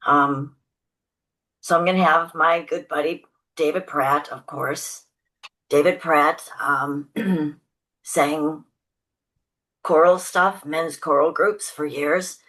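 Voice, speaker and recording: Low-voiced, chatty woman singer. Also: steady tone, takes it slowly, long pauses, low-quality recording. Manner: chatty, upbeat, warmly enthusiastic about people. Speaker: singer and choir leader, guest. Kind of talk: casual interview chat.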